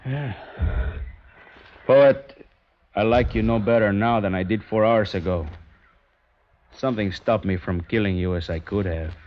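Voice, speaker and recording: A man's voice: on the low side, a little slow, pauses often, natural voice. An actor playing a sardonic, menacing guitar player who taunts his rival in a 1950s radio drama, mocking and cold. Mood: gruff. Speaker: emissary of the kidnappers. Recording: dull old radio broadcast recording.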